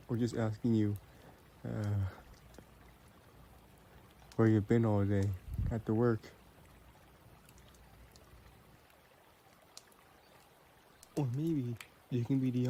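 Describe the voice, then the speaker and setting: A man's voice: mid-range voice, fairly even intonation, normal speed, pauses often, dark tone, slight background noise, natural voice. A man acting as a possessive, romantic vampire boyfriend in an intimate audio roleplay, tender and teasing as he talks to the listener.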